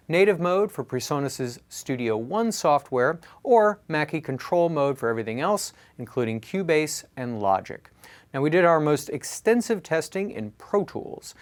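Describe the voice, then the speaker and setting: Slightly high-voiced male product reviewer. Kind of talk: scripted product review narration.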